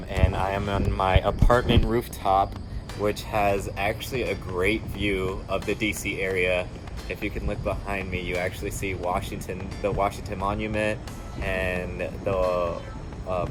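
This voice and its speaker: A young man from Houston, a recent graduate now working as an engineer, talking earnestly to camera from an outdoor rooftop. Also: lowish voice. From Houston, Texas. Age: young adult. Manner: earnest, conversational, with small restarts. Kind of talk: talking to camera about his studies and career.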